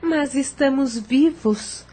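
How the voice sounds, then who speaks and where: An actress playing a gentle, pious mother figure in an audio novel, speaking in a soft, husky voice. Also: high-pitched, slow pace. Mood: gentle, consoling.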